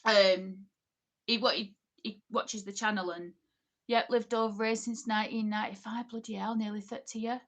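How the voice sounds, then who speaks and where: A woman's voice: mid-range voice, steady tone, moderate pace, a bit bright. Northern English woman with an Oldham accent, host of a casual live chat, rambling and full of asides. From England. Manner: chatty, rambling, many ums and asides. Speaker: livestream host. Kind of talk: casual livestream chat reading comments.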